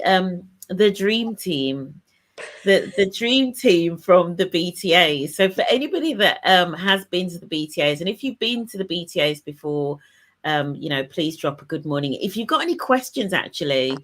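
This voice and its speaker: A woman hosting a casual live online chat, talking warmly to her audience and guests with lots of fillers and restarts. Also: on the low side. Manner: chatty, many fillers and restarts. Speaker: host.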